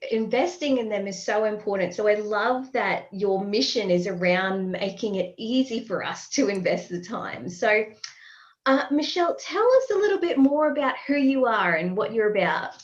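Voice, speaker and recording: A woman hosts a relaxed podcast chat, friendly and full of fillers, her voice sitting at an ordinary pitch. She is getting over a respiratory ailment. The recording sounds a little dull and lo-fi. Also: normal speed. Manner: friendly, chatty, many fillers. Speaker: podcast host. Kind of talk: casual interview chat.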